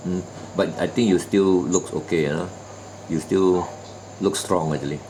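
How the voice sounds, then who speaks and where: A man's voice: low-pitched, fairly even intonation, moderate pace, dark tone, slight background noise, poor audio. A man conducting a job interview, probing with questions and repeating the answers back, often trailing off with "ah" and "lah". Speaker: recruitment interviewer.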